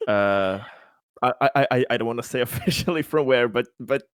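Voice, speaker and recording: A man chatting casually as a tabletop game player, haltingly and often repeating words as he interjects. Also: mid-range voice, clean tone, dry recording, clean studio sound.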